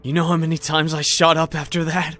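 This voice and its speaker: A performer playing a bitter, guarded male survivor in an audio drama, terse and deadpan with a sardonic edge. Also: mid-range voice, fairly quick, a little hiss or hum, close to an everyday voice. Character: bitter, guarded, foul-mouthed male survivor, former addict.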